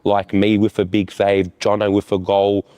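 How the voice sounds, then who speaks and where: A man, a futsal goalkeeper being interviewed, talking in halting bursts that lean on "like" and often restart. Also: lowish voice, completely flat delivery, warm tone, no room sound, clean studio sound. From Australia. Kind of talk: post-season player interview.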